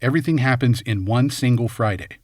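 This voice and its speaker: A man's voice: medium pitch, fairly quick, no echo. A man reading scripted film commentary as host, in a wry, tongue-in-cheek way. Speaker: video essay host.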